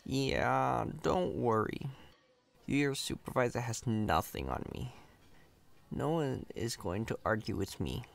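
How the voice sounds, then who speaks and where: A man's voice: medium pitch, expressive, takes it slowly, vocal fry. A man playing a chatty, cocky, friendly company employee in an ASMR roleplay, casual, reassuring and playfully boastful. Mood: easygoing, friendly.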